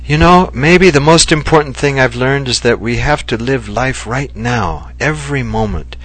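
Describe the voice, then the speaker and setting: A man doing a gentle, earnest Japanese wife. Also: medium pitch, moderate pace, no room sound, voice pushed up, natural voice. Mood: earnest, tender. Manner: earnest and heartfelt, softly reflective. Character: gentle Japanese woman, the narrator's loving wife.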